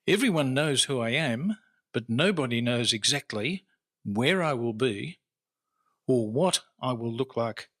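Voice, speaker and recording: Man acting a lively, self-assured Victorian detective inspector. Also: medium pitch, unhurried. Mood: self-assured, storytelling. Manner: theatrical, self-assured, formal. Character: famous boastful Victorian-era detective inspector, master of disguise. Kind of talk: old-time radio drama acting, storytelling narration.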